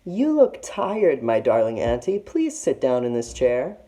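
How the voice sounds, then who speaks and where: Woman as a wary, lively storybook big sister. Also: extremely low pitch, unhurried, heavily acted. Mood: wary, polite. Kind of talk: storybook read-aloud character voice.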